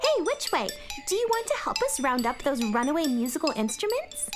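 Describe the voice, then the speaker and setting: A woman's voice: sits high, huge pitch swings, fairly quick. A woman playing a plucky, eager young girl space adventurer in a children's radio play, earnest and exclamatory. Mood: delighted, eager. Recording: loud music behind voice.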